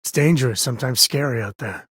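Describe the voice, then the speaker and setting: A heavily husky, gravelly spacefarer from a video game, with a medium-pitched voice. He is an easygoing, loyal father and explorer who talks in a wry, folksy, conversational way. The sound is dry, with no room around it. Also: fast talker, fairly bright tone, dry recording. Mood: somber. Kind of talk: video game character dialogue.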